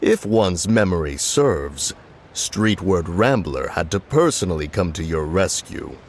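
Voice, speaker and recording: An actor playing a stern, proud ancient spirit in human form in a video game, with pompous, archaic phrasing. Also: male voice, low-pitched, animated, measured pace, slight background noise. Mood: matter-of-fact. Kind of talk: English video game dub acting. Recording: voice over background music.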